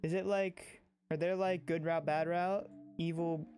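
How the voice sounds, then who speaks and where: Excitable, rambling game streamer with music behind. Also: medium pitch, a little slow. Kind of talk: casual livestream chat. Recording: music under the voice.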